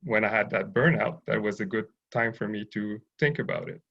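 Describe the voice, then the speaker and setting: Man, gravelly fry, measured and hesitant, muffled call audio. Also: fairly low pitch, sharp tone. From Haiti, raised in Montreal. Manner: thoughtful, hesitant with many fillers. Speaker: guest, urban farm owner. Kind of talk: interview over video call.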